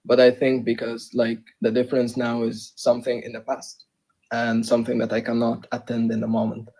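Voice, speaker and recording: A man's voice: medium pitch, completely flat delivery, brisk, dark tone, breathy edge, poor audio. A young man from Egypt, a student in a live debate, answering hesitantly with many fillers and restarts. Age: young adult. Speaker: debate participant.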